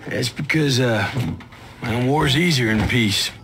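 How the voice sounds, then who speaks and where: An actor playing a breezy, cynical special-forces soldier in a video game, with halting, teasing talk. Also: male voice, mid-range voice, fairly bright tone, a little hiss or hum. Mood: wry, cynical. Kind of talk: naturalistic video game acting.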